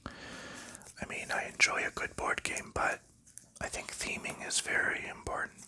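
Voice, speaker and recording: A man, host of an ASMR channel, softly whispering in a rambling, casual way while sleeving board game cards. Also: unhurried. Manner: soft rambling whisper with light laughs and fillers.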